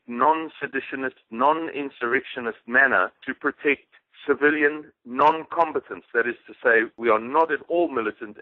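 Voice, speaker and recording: A man's voice: medium pitch, no echo. A man in his forties with a South African accent, a guest talking formally and precisely in a phone interview. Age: 40s. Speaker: guest, activist. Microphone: telephone. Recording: dry, tinny phone line.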